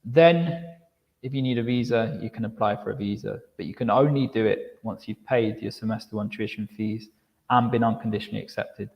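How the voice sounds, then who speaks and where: A man presenting university admission steps in a live online session, explaining clearly, often repeating words as he restarts and checking in with "okay". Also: lowish voice, brisk, long reverb tail, muffled recording.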